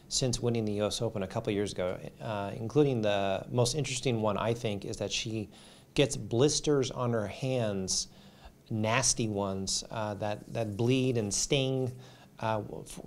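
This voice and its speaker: An American man with a slightly raspy voice gives casual, unscripted sports commentary to viewers, often halting and repeating words. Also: lowish voice. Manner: halting, repeats words and trails off mid-phrase. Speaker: YouTube sports commentator and host. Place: home studio.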